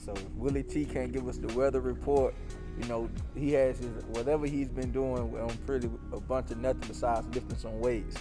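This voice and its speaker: A man's voice: medium pitch, steady tone, fairly quick. A man, a basketball coach, talking plainly about his team in a casual interview. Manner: plain-spoken, uses coaching cliches, teasing. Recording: clear music in background.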